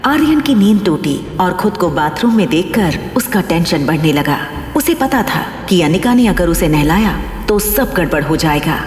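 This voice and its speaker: A woman's voice: on the low side, big pitch swings, moderate pace, few pauses, darkish tone. A woman narrating a scripted dramatic audio story. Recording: echoey long reverb.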